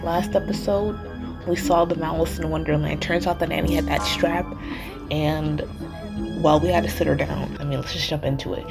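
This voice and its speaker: A woman's voice: sits low, steady tone, moderate pace, vocal fry. A woman hosting a casual game playthrough, slangy and joking, talking back to the characters with music behind her. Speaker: gaming channel host. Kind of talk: casual game playthrough commentary, reading dialogue aloud.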